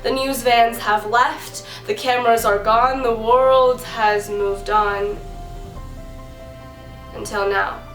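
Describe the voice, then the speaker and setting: High-voiced American woman reciting slam poetry. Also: steady tone, fairly bright tone, roomy sound, faint music behind, rough recording. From San Bernardino area, California, United States. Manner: earnest, dramatic phrasing with deliberate pauses and repetition. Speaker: amateur spoken-word poet.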